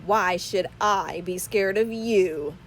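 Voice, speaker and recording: Woman putting on a scornful, slow school bully. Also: lowish voice. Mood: menacing. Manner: taunting, growled challenge.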